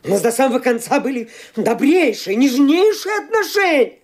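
A man's voice: very high-pitched, very animated, moderate pace, exaggerated voice. An actor playing an anxious young male heir in a radio play, who talks nervously and flares into anger. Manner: agitated, nervous, breaks into anger. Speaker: heir questioned by his lawyer. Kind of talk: Soviet-era radio play acting.

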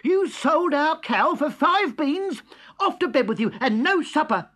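A shrill, scolding mother who shrieks high and loud, as a man reads her aloud. Her pitch jumps widely. She is a storybook character. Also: lively intonation, measured pace, exaggerated voice. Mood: furious. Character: furious shrieking poor mother.

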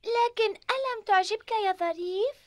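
A woman's voice: extremely high pitch, normal speed. A woman voicing a curious young cartoon kid who asks lots of questions. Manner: inquisitive, bright.

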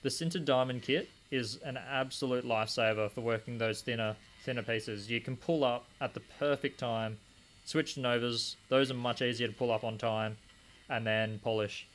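A man's voice: mid-range voice, steady tone, normal speed, a bit bright. A young man hosting a live stream from a small home desk corner, chatting casually and answering chat comments aloud while he works. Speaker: stream host polishing gemstones.

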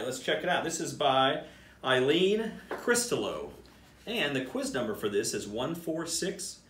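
A man's voice: medium pitch, a bit bright, slight background noise. A man, around his thirties or forties, a school principal reading a picture book aloud expressively and talking directly to children. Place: small office, indoors. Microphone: camera a few feet away. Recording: roomy echo, distant mic.

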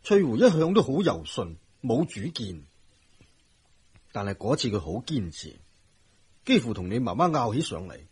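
An actor playing a kindly, regretful middle-aged doctor in a Hong Kong Cantonese radio drama, husky and measured, avuncular and a little hesitant. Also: male voice, on the low side, darkish tone, heavily acted.

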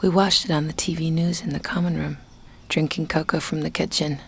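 A woman's voice: low-pitched, flat delivery, a little fast, gravelly, slightly rough, full character voice. A woman voicing a young woman stranded on a dinosaur island, who talks to herself in a wry, frightened way. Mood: anxious. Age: young adult. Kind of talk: game dialogue and narration.

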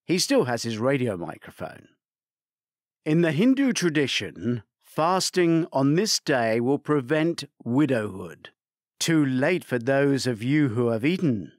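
A man reading a crime thriller aloud as scripted narration, measured and theatrical, lifting his voice for quoted commands. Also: mid-range voice, very animated, dry recording, clean studio sound. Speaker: audiobook narrator.

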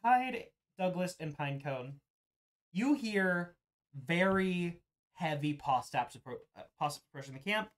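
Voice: medium pitch, expressive, a little slow. A game master casually narrating an online roleplay over a voice call, in an informal, hesitant way.

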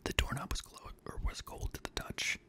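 A young man reading scary stories in a hushed, breathy ASMR whisper, gently, close to a studio microphone. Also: normal speed. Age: young adult. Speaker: host and reader of scary stories. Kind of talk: whispered ASMR horror story narration. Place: small indoor home studio room. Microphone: close boom-arm studio microphone.

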